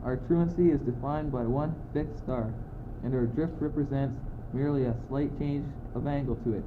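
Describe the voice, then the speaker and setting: A man's voice: medium pitch, moderate pace, close to an everyday voice. A teenage boy playing a philosophizing, impatient courtier in an amateur radio play, declamatory and exasperated. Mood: musing. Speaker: student actor. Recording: noisy phone-like old school recording.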